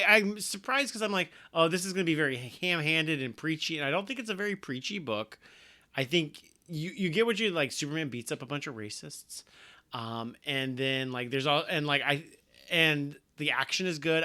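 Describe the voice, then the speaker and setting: A man co-hosting a podcast, sharing his opinion of a comic with eager, halting restarts. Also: a little high, bright, forceful tone. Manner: enthusiastic, hesitant with many restarts. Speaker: podcast co-host. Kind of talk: casual podcast chat.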